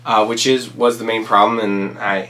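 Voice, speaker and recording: A man with a cold-roughened, raspy voice chats casually as host of his own channel. He rambles, restarts his sentences and fills pauses with ums as he walks through his favorite movies. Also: on the low side, little pitch movement, pushed and bright, low-quality recording. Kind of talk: casual solo commentary.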